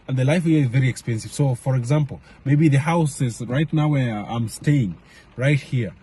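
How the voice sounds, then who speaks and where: A young man from Kenya talks casually to his camera, stopping often to restart his thoughts with plenty of fillers. His voice has a husky, slightly breathy texture at an average pitch. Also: mellow. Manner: halting, many fillers and restarts. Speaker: vlogger. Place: home in Madrid area.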